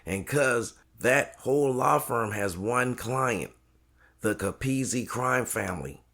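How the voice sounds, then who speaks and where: A man acts as a loyal cousin who handles surveillance. His voice is medium in pitch, measured and serious, with casual street phrasing in an audio drama. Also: animated, creaky, a bit bright, heavily acted. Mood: grave. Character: loyal, tech-savvy cousin working surveillance.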